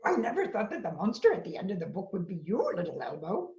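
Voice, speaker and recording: A woman voicing a frantic, blustering furry male monster in an animated children's read-aloud, melodramatic and pleading. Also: mid-range voice, fast, voice pushed down. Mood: astonished. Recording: roomy, dull lo-fi sound.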